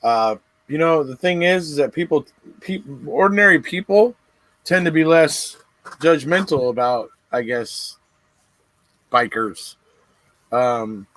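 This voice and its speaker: A man's voice: fairly high pitch, lively intonation, slow, long pauses, fairly bright tone, dry recording, poor audio. A man talking as a guest in a casual chat, easygoing, with lots of "you know" fillers.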